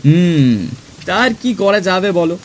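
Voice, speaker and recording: A man playing a genial middle-class clerk who narrates his own tale in an expressive, theatrical audio drama style. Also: sits high, slow pace, a little hiss or hum. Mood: genial, teasing. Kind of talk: dramatised first-person audio story narration. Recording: music under the voice.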